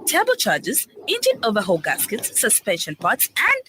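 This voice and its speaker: Woman in a radio commercial, super lively and bright, upbeat recommender. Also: a little high, moderate pace, a little hiss or hum, full character voice. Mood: upbeat, promotional. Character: enthusiastic woman recommending a car parts supplier. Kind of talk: scripted radio advert dialogue.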